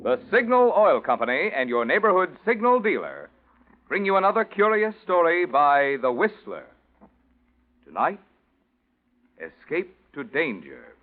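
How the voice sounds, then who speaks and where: Animated higher-voiced man, tinny old radio. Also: a little slow, long pauses, a little hiss or hum. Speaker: radio announcer. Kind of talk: 1940s radio sponsor announcing. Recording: thin, tinny 1940s radio recording.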